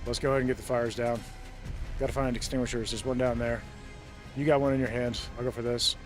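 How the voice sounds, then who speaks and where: Guy streaming a game, chatty, laughs a lot, middle pitch, little music under it. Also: little pitch movement, fast. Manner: chatty, laughs often, thinks aloud with ums. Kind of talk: casual gameplay commentary. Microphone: desk mic with noise suppression.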